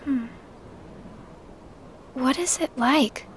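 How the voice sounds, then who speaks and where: An actress playing a quiet, gentle, unsure teenage girl in a video game, with a hesitant, subdued delivery. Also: high-pitched, very slow, a little hiss or hum. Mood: subdued, uneasy. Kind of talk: scripted video game voice acting. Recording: loud music over the voice.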